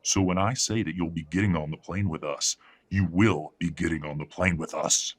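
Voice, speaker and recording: A man's voice: sits low, fairly even intonation, moderate pace. A man playing a middle-aged, imperious werewolf patriarch in an audio drama, speaking formally and condescendingly as he controls his family. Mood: commanding. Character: imperious wealthy werewolf patriarch, coldly polite, controlling father. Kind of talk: scripted audio drama acting.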